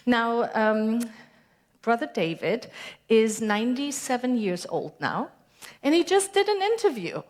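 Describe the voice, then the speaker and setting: A woman's voice: fairly high pitch, big pitch swings, unhurried. A woman minister with a German accent, giving a conversational sermon and putting questions to her congregation. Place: church sanctuary.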